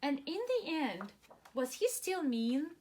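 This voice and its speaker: A woman's voice: sits high, very animated, slow, darkish tone. A woman teacher doing a children's read-aloud, asking simple, encouraging questions of young listeners. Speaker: preschool teacher reading aloud.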